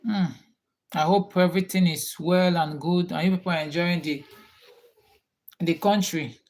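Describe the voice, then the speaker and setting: A man's voice: sits high, fairly even intonation, normal speed, darkish tone. A man from Nigeria with a Nigerian accent, hosting a casual livestream chat and repeating his questions to viewers. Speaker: livestream host.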